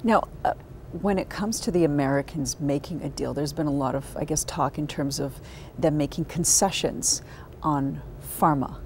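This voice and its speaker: Low, creaky-voiced woman. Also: moderate pace, a little mellow, a little hiss or hum. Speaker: interviewer, financial news host. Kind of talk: news interview questioning. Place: indoor stock market studio.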